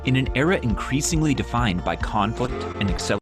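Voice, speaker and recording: Lively male narrator over loud music. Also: mid-range voice. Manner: polished, measured delivery. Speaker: narrator of an explainer video. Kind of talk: scripted narration. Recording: played over a video call with loud music.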